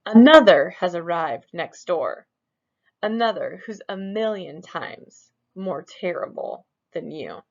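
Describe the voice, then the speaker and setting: A woman's voice: sits high, expressive, takes it slowly, pitched above the performer's own voice, heavily acted. A woman voicing a talking magic mirror in a storybook, speaking in sing-song rhymes. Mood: teasing. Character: magic talking mirror, flattering then blunt. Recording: dull lo-fi recording.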